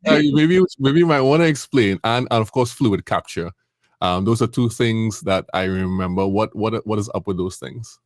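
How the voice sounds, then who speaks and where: A man's voice: lowish voice, moderate pace, no room sound, studio quality. A man hosting a live-stream interview, eager and chatty, often repeating words as he talks. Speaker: host. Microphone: video call.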